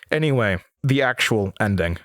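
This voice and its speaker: A man's voice: on the low side, fairly bright tone, dry recording, studio quality. A man playing an anxious, haunted young host of a horror radio show in an audio drama. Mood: uneasy, confiding.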